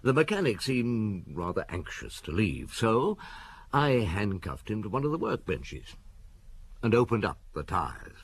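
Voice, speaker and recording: An actor in an audio drama playing a shrewd, unhurried police chief with a husky voice and a terse, probing manner. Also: male voice, lowish voice, sing-song, full character voice. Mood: wry, recounting.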